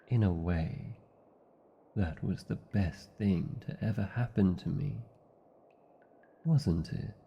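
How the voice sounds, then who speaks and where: Guy doing a british dragon lover for fantasy asmr, super dark low gravelly voice, formal and tender, bit muffled. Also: exaggerated voice. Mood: tender, devoted. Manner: formal, archaic, theatrical phrasing with tag questions. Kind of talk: fantasy ASMR roleplay, slow intimate acting.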